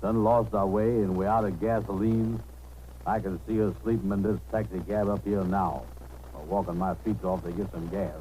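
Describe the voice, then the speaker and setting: An actor playing a blustery, grumbling, slow-witted taxicab driver with folksy ungrammatical speech in a 1930s radio comedy. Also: male voice, on the low side, steady tone, mellow, natural voice. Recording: noisy 1930s radio broadcast.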